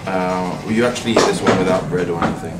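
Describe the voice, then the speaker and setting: A man's voice: low-pitched, fast talker, over loud music. A man in his thirties who works in a cheese shop, explaining the products in a plain, matter-of-fact way with some hesitation. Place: busy cheese shop, indoors. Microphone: camera mic from a distance. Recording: echoey shop recorded from far off with loud crowd.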